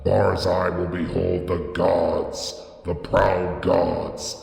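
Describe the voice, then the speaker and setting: An arrogant, ecstatic prophet from a gothic horror audiobook, acted out by a man, cries out grandly and loudly. His slow, gravelly declamation rings through a deep, echoing reverb. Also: little pitch movement, exaggerated voice. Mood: exultant. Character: Barzai, arrogant old prophet crying out in mad ecstasy. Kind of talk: dramatic gothic horror storytelling. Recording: echoey hall with long reverb.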